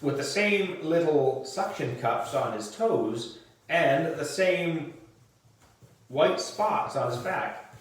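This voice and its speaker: A man's voice: a little high, expressive, slow pace. A man voicing an earnest, anxious schoolboy in a children's storybook, with a pleading manner. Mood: curious. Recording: distant mic in echoey room.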